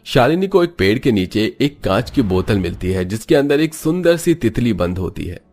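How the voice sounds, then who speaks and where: A man's voice: medium pitch, sing-song, normal speed, a little mellow. A man giving scripted narration for a cartoon moral story. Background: background music.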